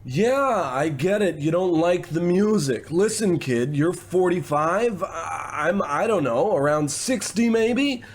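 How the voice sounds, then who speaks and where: An actor playing a blithe, eccentric showbiz boss around sixty in a comic radio play, breezy and patronizing. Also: male voice, sits high, lively intonation, slow pace, hardly any pauses. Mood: breezy, world-weary. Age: 60s. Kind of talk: old-time radio comedy acting.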